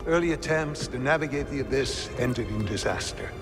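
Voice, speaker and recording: A man playing an older, learned and kindly researcher in a video game, speaking in a measured, formal way. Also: medium pitch, expressive, close to an everyday voice. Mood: grave, explanatory. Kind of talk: cinematic video game acting, formal exposition. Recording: reverberant hall, music underneath.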